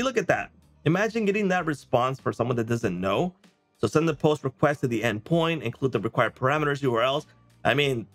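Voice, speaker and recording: A man in his thirties hosting a casual software walkthrough, conversational, often checking in with a quick okay or right. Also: mid-range voice. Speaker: software tutorial host. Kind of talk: casual screen-share walkthrough. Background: music.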